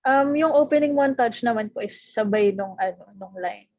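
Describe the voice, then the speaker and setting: A woman's voice: a little high, brisk, darkish tone, no echo. A young adult woman with a Filipino accent giving casual feedback in Taglish, polite and hesitant as a director. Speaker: director giving notes at a table read. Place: video call.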